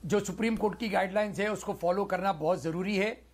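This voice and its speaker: High-voiced man arguing on a debate panel. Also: brisk. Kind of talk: televised debate argument.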